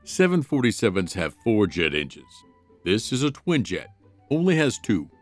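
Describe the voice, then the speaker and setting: A man voicing a gruff, stern German shepherd guard dog in a comic dub, blunt and deadpan. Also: on the low side, normal speed, faint music behind. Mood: matter-of-fact. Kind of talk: comic dub character acting.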